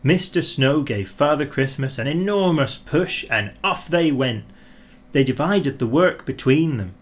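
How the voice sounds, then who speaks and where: A man narrating a children's storybook in an expressive, deliberate way, drawing out words for emphasis. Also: fairly high pitch. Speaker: audiobook narrator.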